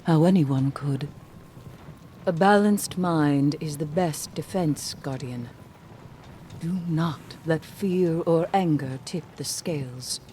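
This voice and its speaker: A woman's voice: deep voice, warm tone, slight background noise. An actress playing a wise, composed female mentor in a video game, speaking in a solemn, cautionary way. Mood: solemn warning. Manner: measured, solemn. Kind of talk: video game narration, acted.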